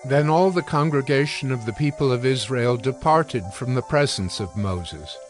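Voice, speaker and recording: Male narrator, medium pitch, measured, formal, deliberate phrasing, scripted scripture storytelling, soft music bed.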